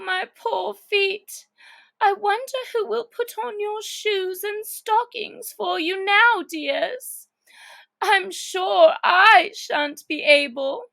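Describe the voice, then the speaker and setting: A woman's voice: very high-pitched, creaky, fairly bright tone, voice pushed up, heavily acted. A woman voicing a dreamy, fretful little girl who exclaims and muses theatrically to herself in a storybook reading. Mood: plaintive.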